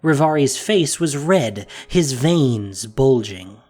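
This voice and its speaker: A man reading scripted fantasy story narration with expressive delivery. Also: medium pitch, slow pace.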